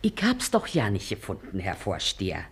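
A woman's voice: extremely low pitch, huge pitch swings, moderate pace, husky, full character voice. An actress playing a shrewd, plain-spoken middle-aged working-class mother with an in a radio comedy. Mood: respectful, insistent. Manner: folksy, blunt, sly. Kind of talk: German stage-comedy radio drama acting.

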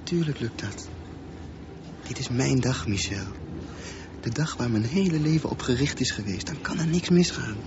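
A man's voice: mid-range voice, expressive, normal speed, long pauses, close to an everyday voice. Intense, brooding young male swimmer for radio play, acted by a man; earnest delivery, muffled and noisy sound. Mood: intense, insistent. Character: intense, brooding teenage boy swimmer with deformed leg. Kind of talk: radio drama acting.

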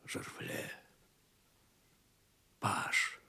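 An actor playing a dying old king in an audio play, weary, halting and tender, with a breathy, weak voice. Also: bright, forceful tone, soft background music, exaggerated voice. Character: dying old king, weary, grieving, gentle with his squire. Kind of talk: Soviet audio play acting.

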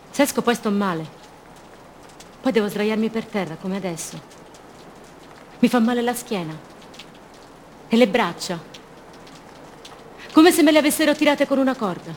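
A woman's voice: a little high, a little hiss or hum. An actress playing a fragile, haunted captive woman in a radio drama, speaking in short fragments and childlike repetitions. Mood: withdrawn, pained. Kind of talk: theatrical monologue, radio drama.

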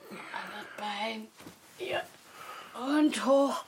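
An actress playing a patient, brisk care worker who coaxes an old patient, in a naturalistic radio drama. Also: female voice, fairly high pitch. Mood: coaxing. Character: patient, brisk female carer coaxing an old patient. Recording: clearly noisy recording.

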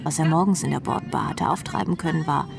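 A woman's voice: low voice, quick pace, gravelly. An actress playing a brash, world-weary rock drummer who tells her story in a sardonic, rambling way in a radio drama. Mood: rueful, reckless. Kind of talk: radio drama first-person narration. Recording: music playing behind the voice.

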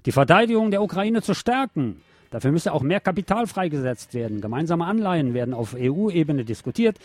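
A man's voice: on the high side, darkish tone. A man hosting a radio show, reading news articles aloud in a sardonic way with mocking asides.

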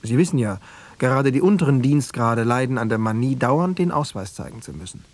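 An actor playing a pedantic, self-satisfied academic who runs secret police experiments, lecturing in a bookish way, in a radio drama. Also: male voice, mid-range voice, animated, brisk, a little mellow, no echo, close to an everyday voice.

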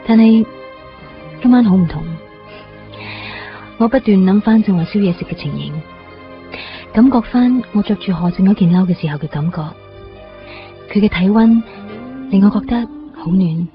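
Woman acting wistful young woman, Hong Kong Cantonese accent, medium pitch, sincere radio-play delivery, slightly dull sound. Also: normal speed, pauses often, lightly acted. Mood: wistful, tender. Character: tender young woman, heart-transplant survivor, newly in love. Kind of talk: radio drama monologue and dialogue. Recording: slightly muffled, faint music underneath.

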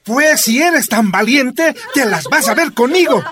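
A man's voice: very high-pitched, animated, unhurried, crowd noise. An actor playing a stern, fiery Bolivian miner father in a radio drama, speaking emphatically with a Bolivian accent. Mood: angry, threatening. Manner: emphatic, declamatory.

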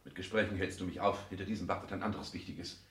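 An actor playing a driven, domineering adult son in a German radio play, declaiming in a clipped, commanding manner. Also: male voice, deep voice, fast talker, fairly bright tone. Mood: impatient, commanding. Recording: roomy 1960s radio studio sound.

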